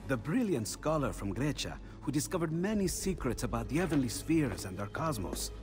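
Middle-aged Renaissance inventor played by a man, animated intonation, self-deprecating and polite, video game drama. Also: medium pitch, normal speed, over music, close to an everyday voice. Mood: musing. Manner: courteous, expressive, self-deprecating. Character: eccentric aging Renaissance inventor and painter, excitable scholar.

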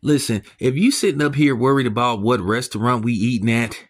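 A man hosting a commentary channel, ranting in a blunt, mocking and crude way, his voice jumping up when he is incredulous. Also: a little fast. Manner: pitch jumps up when incredulous.

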